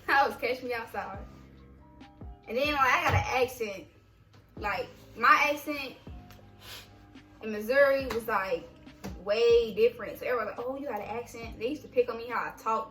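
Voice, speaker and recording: A young woman co-hosting a vlog, telling army stories in an animated, profane, laughing way. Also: fairly high pitch, normal speed, long pauses, roomy sound, far from the mic, faint music behind, poor audio. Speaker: vlog co-host, army veteran. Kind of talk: casual storytelling chat.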